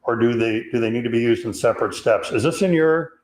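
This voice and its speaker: A man from Chicago in his fifties with a deep voice and a Chicago accent, hosting a live question-and-answer chat and carefully reading viewer questions aloud. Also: fast, darkish tone, roomy sound. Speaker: host.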